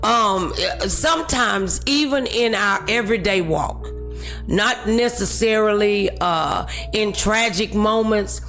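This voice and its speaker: A woman's voice: mid-range voice, takes it slowly, a bit bright, soft background music. A woman hosting a talk show, preaching encouragement to her audience in an emphatic, exhorting manner with scripture.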